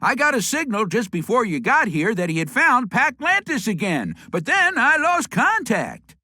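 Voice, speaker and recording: A woman voicing a perky, matter-of-fact young female tech helper in a cartoon game cutscene, slightly husky, chirpy and informal. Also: fairly high pitch, huge pitch swings, moderate pace, bright, forceful tone, no echo, clean studio sound, heavily acted. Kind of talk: animated game cutscene acting.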